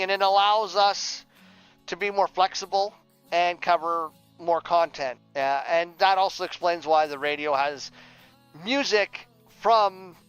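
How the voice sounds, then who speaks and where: A man with a high, smooth voice talks casually to a livestream. He rambles, circling back and repeating words as he goes. The sound is a little dull, with music playing behind him. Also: fairly bright tone. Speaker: streamer and audio drama writer.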